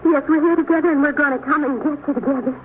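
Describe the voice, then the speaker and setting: A woman's voice: high-pitched, steady tone, fast, natural voice. A woman playing a tender, emotional mother in a 1950s radio drama. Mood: tearful, tender. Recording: tinny, noisy old radio recording.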